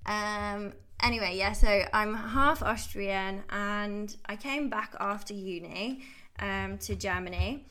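A woman's voice: medium pitch, slow, bright, forceful tone. Chatty woman podcast host. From United Kingdom. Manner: chatty and upbeat, laughs easily. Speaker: podcast host, holistic health coach. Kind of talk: casual podcast monologue.

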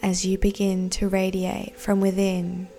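A woman guiding a meditation in a soothing, deliberate, breathy voice that often nears a whisper. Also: medium pitch, completely flat delivery. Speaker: meditation guide. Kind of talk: guided meditation narration. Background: soft background music.

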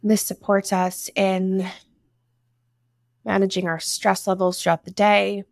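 A woman leading a guided meditation, giving soothing instructions in short phrases with long pauses between them. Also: mid-range voice, flat delivery, creaky, dry recording, studio quality. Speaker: meditation guide, breathwork teacher.

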